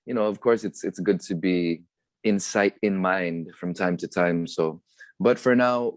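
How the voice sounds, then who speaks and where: Low-voiced young man on a video call. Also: dry recording. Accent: American. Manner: relaxed, mixes Tagalog phrases into English. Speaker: guest performer and entrepreneur. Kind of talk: casual interview over video call. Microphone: video call webcam.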